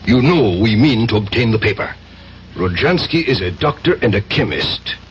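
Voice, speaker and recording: Cold secret police villain, muffled radio. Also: male voice, on the low side, very animated. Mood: coldly menacing. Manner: menacing, deliberate, authoritative. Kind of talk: 1970s radio drama acting. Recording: muffled, noisy old radio recording.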